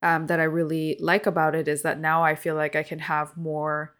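A woman's voice: low voice, flat delivery, moderate pace, fairly bright tone. A young woman co-hosting a podcast, born in Korea with Korean as her first language, chatting casually and explaining in detail with lots of "um"s. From South Korea. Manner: explains in detail with many fillers. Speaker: podcast co-host. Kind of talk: casual chat.

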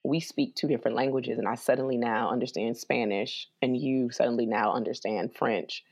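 A host leading a casual interview, lively and chatty, asking the guest to repeat points. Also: mid-range voice. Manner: lively, asks for repeats, quick asides.

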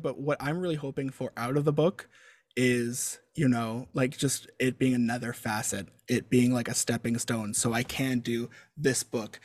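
A young adult man talking casually as a guest author, halting and full of fillers and restarts. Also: mid-range voice. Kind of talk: casual interview chat.

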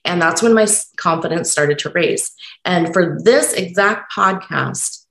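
A woman hosting a solo podcast, talking unscripted and encouraging while stumbling over her words, her voice croaky from being sick. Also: on the low side, measured pace, fairly bright tone, echoey room, low-quality recording. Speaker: podcast host.

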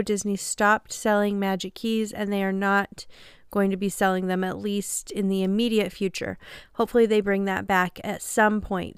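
Chatty host with a slightly stuffy nose. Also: female voice, medium pitch, clean tone, no echo, clean studio sound. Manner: upbeat, chatty, self-deprecating. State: slightly nasal from a cold. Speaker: podcast host. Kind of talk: casual podcast chat.

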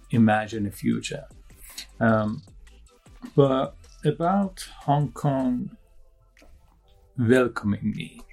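A low, gravelly voice with a husky edge belongs to a young man from Iran whose mother tongue is Turkish. He speaks English, halting and restarting, and slips in dry jokes. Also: a little mellow, rough recording. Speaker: guest. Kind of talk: candid interview conversation.

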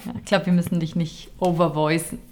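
A young woman co-hosting a casual podcast, chatty and quick to laugh. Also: lowish voice, little pitch movement, dark tone, a little hiss or hum. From Germany. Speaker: podcast co-host. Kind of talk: casual chat.

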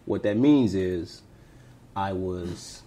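A man from Tampa, Florida, co-hosting a podcast, telling his story in a reflective way that trails off quietly. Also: low-pitched, very slow. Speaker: podcast co-host. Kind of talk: casual interview storytelling.

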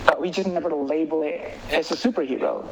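A man from Indonesia with an Indonesian accent, chatting casually as an interviewed filmmaker in an animated, rambling way full of filler phrases. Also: fairly high pitch, fairly quick, a little hiss or hum, rough recording. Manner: animated, rambling, peppers talk with "like" and "you know". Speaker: filmmaker and writer being interviewed.

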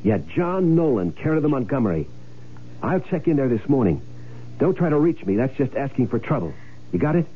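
An actor playing a broke, cocky schemer in a radio drama, with a wry, hardboiled, breezy delivery, both in dialogue and first-person narration. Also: male voice, medium pitch, sing-song, a little fast, noisy background, natural voice. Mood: brisk, furtive. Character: broke, cocky male schemer who faked his death. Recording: tinny radio broadcast with loud music.

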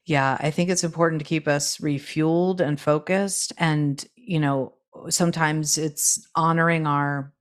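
Low-voiced woman thinking aloud. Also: fairly even intonation, a little slow, few pauses. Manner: thoughtful, thinking aloud in loosely linked phrases. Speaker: podcast guest, neurodiversity advocate. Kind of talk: casual reflective interview talk.

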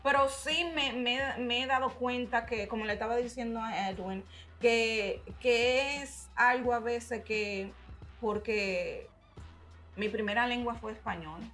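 A woman, a writer and organizer, telling her story in a relaxed interview and slipping into English phrases. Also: fairly high pitch, measured pace, faint music behind. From Dominican-American. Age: adult, mother. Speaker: guest writer and community organizer. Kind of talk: casual interview chat.

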